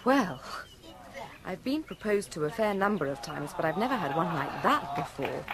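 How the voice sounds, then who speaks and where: A woman's voice: on the low side, very animated, moderate pace, crowd noise. An actress playing a spirited, sensible young English lady in a radio comedy, forthright and easily exasperated. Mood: musing.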